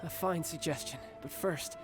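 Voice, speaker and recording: A self-assured young warrior from a video game, acted by a man, talks in a lightish, higher male voice. He is theatrical and wry, a protective big brother with some swagger. Music plays clearly behind him. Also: normal speed, natural voice. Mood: genial. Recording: game audio with background music.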